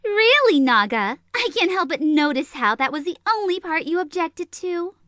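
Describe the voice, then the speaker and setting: A woman's voice: very high-pitched, very animated, normal speed, pushed and bright, no room sound, studio quality, heavily acted. A woman voicing a bratty young anime sorceress with a mocking, brash manner in a comedic audio drama. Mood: smug teasing.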